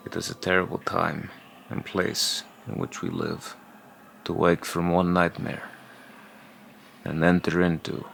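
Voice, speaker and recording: A man playing a weary, brooding priest in a dark fantasy audio drama, speaking in somber, halting phrases. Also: a little hiss or hum, heavily acted. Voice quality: deep, gravelly. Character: doubting male priest, weary, haunted, brooding.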